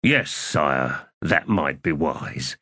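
A man voicing a weary, dry manservant in an audiobook, understated and deadpan. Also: a bass voice, drawn-out words, breathy edge, no room sound, pitched below the performer's own voice, full character voice. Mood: grave, weary. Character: weary, dry manservant, secretly an elder god. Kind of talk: audiobook character dialogue.

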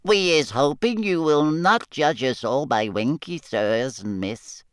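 A man doing an apologetic squeaky house-elf. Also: slow, clean tone, dry recording, clean studio sound. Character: ashamed polite small male house-elf.

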